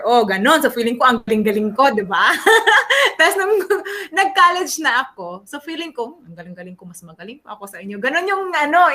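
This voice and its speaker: A woman with a Filipino accent, a teacher, talking animatedly and laughing often in a class discussion over a video call. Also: a little high. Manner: animated, laughing, mixes Tagalog and English. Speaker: teacher and graduate student.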